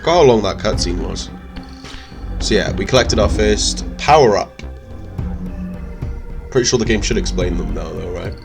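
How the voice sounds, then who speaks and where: A man's voice: on the low side, expressive, moderate pace, creaky, pauses often. A man with a cold, giving rambling, chatty gameplay commentary in a stuffy voice. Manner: rambling, chatty, self-correcting. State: stuffy with a cold and sore throat. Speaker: let's play host. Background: game music.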